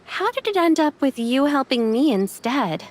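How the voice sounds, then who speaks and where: A woman playing a caring but reserved young woman in an anime game, speaking gently and reassuringly. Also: high voice, very animated, normal speed. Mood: sheepish.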